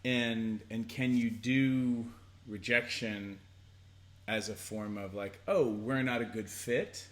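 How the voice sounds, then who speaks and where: A man's voice: lowish voice, fairly even intonation, takes it slowly. A man co-hosting a casual advice chat, playful and jokey, thinking aloud as he talks. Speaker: co-host.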